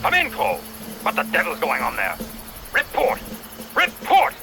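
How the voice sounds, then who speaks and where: An actor playing a furious, imperious villain scientist in a video game, barking commands in a gravelly voice. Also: male voice, on the high side, wildly swooping pitch, normal speed, loud music behind the voice, full character voice. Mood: furious, demanding. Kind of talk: video game cutscene voice acting.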